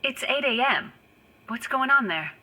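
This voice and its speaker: A woman's voice: sits high, lively intonation, measured pace, pushed and bright, full character voice. An actress playing a concerned, caring woman in a video game, speaking in a probing, earnest way.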